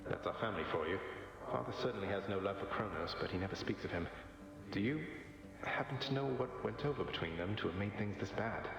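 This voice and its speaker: A man's voice: fairly low pitch, quick pace, gravelly. A man playing a good-natured young underworld prince in a video game, with a wry, dry, casual manner. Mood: wry, thoughtful. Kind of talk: conversational video game acting. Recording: heavily echoing hall with music.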